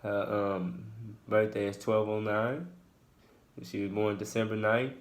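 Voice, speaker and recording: A man, casually answering Q&A questions with his partner in a laid-back, halting way full of fillers. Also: fairly low pitch, level tone. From Houston, Texas. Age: 20s. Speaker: co-host of a couple's channel. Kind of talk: casual Q&A chat.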